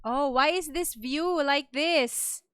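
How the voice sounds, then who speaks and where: A woman's voice: high-pitched, takes it slowly, fairly bright tone, dry recording, studio quality. A woman with a Filipino accent giving casual live gameplay commentary, dropping in Tagalog words. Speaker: game streamer. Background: game music.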